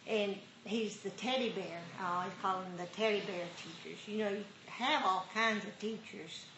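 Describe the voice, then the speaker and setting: A woman dharma teacher talking casually with a meditation group, unhurried and laughing often. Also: mid-range voice. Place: meditation hall. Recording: roomy hall with faint noise.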